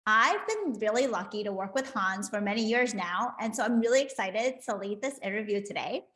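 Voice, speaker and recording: A woman's voice: mid-range voice, expressive, normal speed, a bit bright. A woman hosting a live online interview in a conversational way. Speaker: host and interviewer. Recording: roomy, echoing room sound.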